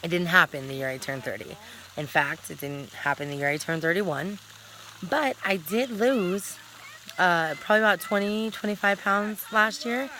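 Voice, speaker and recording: A talkative woman in her thirties rambles through a casual vlog with a bright, forceful voice. She recorded it outdoors at a campsite, and a faint hiss of outdoor sound sits behind her. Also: on the low side, normal speed, low-quality recording. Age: 30s. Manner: rambling, talkative, self-correcting. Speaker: vlogger.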